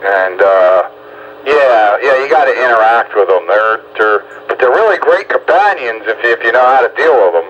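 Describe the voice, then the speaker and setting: A middle-aged or older man chatting casually, halting and repeating words as he goes. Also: medium pitch, lively intonation, muffled recording, a crowd in the background. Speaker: husky owner and rescue volunteer. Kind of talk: casual chat.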